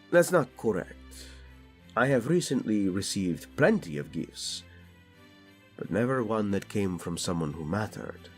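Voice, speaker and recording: A man's voice: low-pitched, exaggerated voice. A man playing a flirtatious, doting prince and husband in a romantic audio roleplay, speaking intimately and expressively. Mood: tender.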